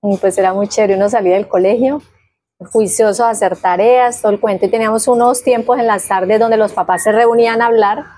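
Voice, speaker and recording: A woman's voice: mid-range voice, steady tone, fairly quick, mellow. A woman in her fifties from Guainía with a Colombian accent, casually recalling her life in an interview, telling it vividly with diminutives and sound words. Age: 50s. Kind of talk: casual personal recollection.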